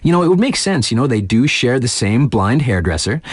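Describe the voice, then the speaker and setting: An actor playing a laid-back, hip radio DJ in a video game, covering snowboard competitions with breezy, slangy commentary and chatty, hesitant asides. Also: male voice, mid-range voice, very animated, a little fast, no room sound. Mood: musing, amused. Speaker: radio DJ announcer. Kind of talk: scripted radio sports commentary with casual ad-libbed asides.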